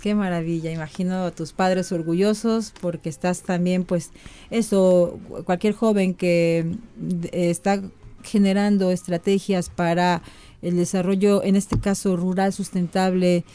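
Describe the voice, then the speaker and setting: A woman's voice: fairly low pitch, fairly even intonation, unhurried, warm tone, smooth. A woman hosting an interview about farming, chatty and effusive, sending greetings and asking guests about themselves. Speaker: host.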